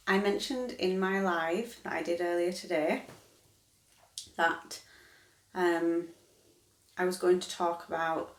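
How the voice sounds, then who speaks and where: A woman in her thirties chatting casually to camera, rambling and correcting herself as she goes. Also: lowish voice. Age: 30s. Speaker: vlogger. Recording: echoey room.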